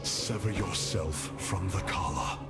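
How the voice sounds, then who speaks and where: A man's voice: sits low, slow, gravelly, full character voice. A man playing a noble alien warrior leader in a video game, speaking with solemn, commanding formality. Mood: grave resolve. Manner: stately, commanding, formal oratory. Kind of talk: cinematic video game acting. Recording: loud cinematic music behind. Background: loud music.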